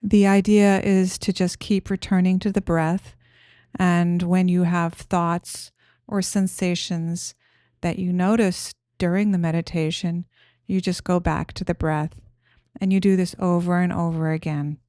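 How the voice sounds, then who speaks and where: A woman guiding a meditation in a gentle, soothing, unhurried manner, giving simple instructions. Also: on the low side, level tone, no room sound, studio quality. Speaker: meditation guide.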